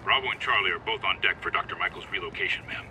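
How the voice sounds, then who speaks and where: An actor playing an armed soldier guard in clipped military radio talk. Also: male voice, deep voice, quick pace, sharp tone, a little hiss or hum.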